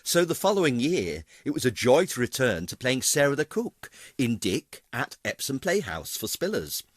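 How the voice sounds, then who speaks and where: A man's voice: mid-range voice, big pitch swings, normal speed, no room sound, studio quality. A middle-aged British man reading a scripted retrospective in a theatrical, wry storytelling manner. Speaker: pantomime dame actor, narrator. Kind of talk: scripted narration of a career retrospective. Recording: music playing behind the voice.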